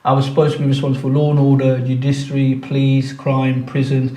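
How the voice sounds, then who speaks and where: A man's voice: medium pitch, completely flat delivery, fast talker, warm tone. A man in his sixties, born in Pakistan and raised in England, a football club chairman answering interview questions earnestly, with frequent restarts and repeated words. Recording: roomy echo, mic at a distance.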